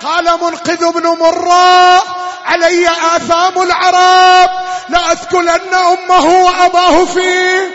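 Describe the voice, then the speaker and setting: High, wailing male mourning preacher in reverb. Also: completely flat delivery. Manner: anguished, wailing, drawn-out delivery. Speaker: religious mourning reciter and preacher. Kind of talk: Shia lamentation sermon, half-chanted. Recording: long hall reverb, dull lo-fi sound, music-like backing.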